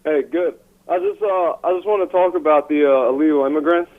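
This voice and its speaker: A man's voice: on the high side, a little fast. A man making a casual phone-in call, informal and somewhat hesitant. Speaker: phone-in caller. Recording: narrow tinny phone line.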